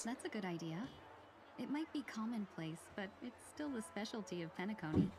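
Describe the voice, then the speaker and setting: A woman's voice: mid-range voice, expressive, a little fast, natural voice, low-quality recording. A woman playing a refined, courteous young woman in a video game, speaking politely and formally with composure. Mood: gracious, pleased.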